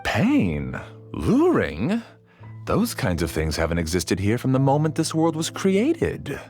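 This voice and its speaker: A man's voice: mid-range voice, wildly swooping pitch, takes it slowly, a little mellow, faint music behind. A man voicing a smug, obsessive villain who rules a dream, theatrical and condescending, in an English game dub. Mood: scornful.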